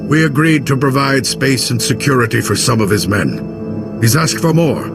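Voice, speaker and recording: A gruff, gravel-throated clan warrior in a video game played by a man. He is proud and stern, with plain-spoken authority. Loud dramatic music surrounds the voice. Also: mid-range voice, lively intonation, moderate pace, natural voice. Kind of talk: video game character acting.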